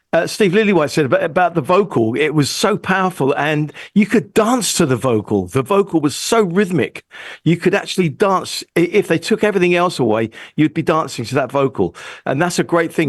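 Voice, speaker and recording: Higher-voiced man reviewing songs, dry sound. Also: no room sound. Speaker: music judge on a review panel. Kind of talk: casual music critique.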